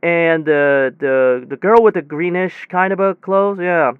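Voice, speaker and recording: Man acting a rambling, high-pitched technician. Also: clear, resonant tone, dry recording, phone-quality sound, close to an everyday voice. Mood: rambling recollection. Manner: halting, rambling, repetitive, self-interrupting. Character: rambling male technician recounting his bizarre workday. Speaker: air conditioner installation technician. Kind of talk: conversational audio drama monologue.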